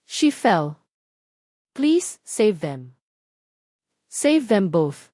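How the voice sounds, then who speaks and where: A woman's voice: medium pitch, very animated, drawn-out words, mellow, no room sound, studio quality, full character voice. A woman voicing an anxious, protective young rich man with urgent, pleading delivery in an audio love story. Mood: pleading, commanding. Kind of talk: dramatic audio story dialogue.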